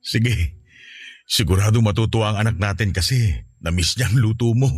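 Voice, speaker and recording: A man's voice: on the low side, moderate pace, slightly rough, dry recording. A man playing a patient husband and grieving father, earnest and reassuring, in a radio-style drama. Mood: loving, hopeful.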